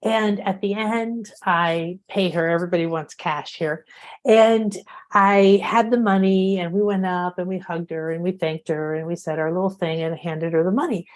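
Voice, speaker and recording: A woman host, middle-aged, chatty and animated, tells stories and laughs a lot. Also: on the low side, moderate pace. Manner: animated storyteller, laughs often. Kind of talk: casual spiritual chat show.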